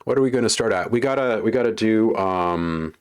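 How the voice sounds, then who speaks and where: A man's voice: lowish voice, brisk, a little mellow, slight background noise. A man hosting a casual livestream while making beats, chatting with viewers and thinking aloud as he works. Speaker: livestream host and music producer.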